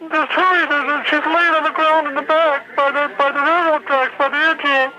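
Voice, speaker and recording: A man speaks in an extremely high, weepy whine. He sounds tearful and distressed as he makes an emergency phone call, heard as an old archival recording. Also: flat delivery, a little fast. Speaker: anonymous emergency caller.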